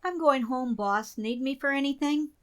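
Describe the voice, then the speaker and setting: Woman acting an earnest code breaker, higher-pitched. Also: normal speed, lightly acted. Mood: casual. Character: earnest female code-breaking assistant to a government boss. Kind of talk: community theater radio drama dialogue.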